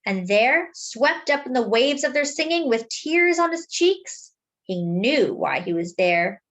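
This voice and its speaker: Female storyteller for children's read-aloud: higher-pitched, very animated intonation, deliberate dramatic phrasing. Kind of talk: expressive picture book read-aloud.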